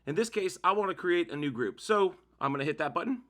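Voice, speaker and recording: Casting: male tutorial host, slightly high pitch, bright forceful tone, informal step-by-step delivery. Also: animated, fast talker. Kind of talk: casual how-to demonstration narration.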